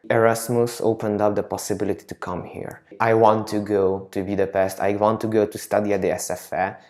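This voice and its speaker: A man's voice: lowish voice, level tone, brisk, a little mellow. A young male theater student, determined and eager in a documentary interview, repeating words as he pushes forward.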